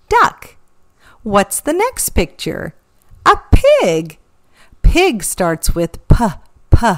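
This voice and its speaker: A middle-aged woman hosting a read-aloud for young children, speaking in an animated, carefully enunciated teaching style. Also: mid-range voice. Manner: animated, slow, careful enunciation, sounding out and spelling words. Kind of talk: storybook reading and interactive teaching for young children.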